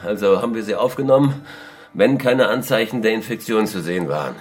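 A captured navy lieutenant played by a man in a radio drama. His voice is low and he speaks haltingly, catching on words, a defiant officer worn down. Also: soft background music, close to an everyday voice. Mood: earnest. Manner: halting, stammering, repeats words.

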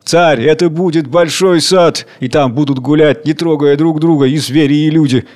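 A man acting a tender, excitable worker-poet who declaims in a pleading, dreamy way. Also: a little high, normal speed, natural voice. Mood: fervent, dreamy.

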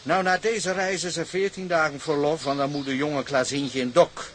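An actor playing a paternal sea captain with authority in a radio drama. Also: male voice, fairly high pitch, steady tone, moderate pace, fairly bright tone, close to an everyday voice. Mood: avuncular. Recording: noisy radio drama scene with bird calls.

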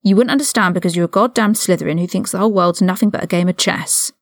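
A woman's voice: lowish voice, quick pace, dry recording, clean studio sound, close to an everyday voice. A woman voicing a hot-headed, loyal eighteen-year-old male wizard prisoner with blunt, indignant, informal speech in a story reading. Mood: angry.

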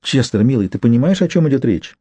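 Audiobook role: male reader as a courteous, coquettish wife, gracious and eager, mellow and close-miked. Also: mid-range voice, animated, quick pace, no room sound, natural voice. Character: polite, slightly coquettish married young woman.

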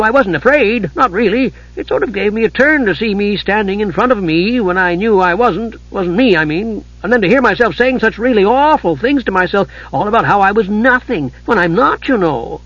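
A man voicing a small, childlike, boastful adventurer who rambles excitably, as in a fantasy audiobook. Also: sits high, fairly quick, no room sound, distant mic, muffled recording, pitched above the performer's own voice. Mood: indignant chatter. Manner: rambling, breathless chatter.

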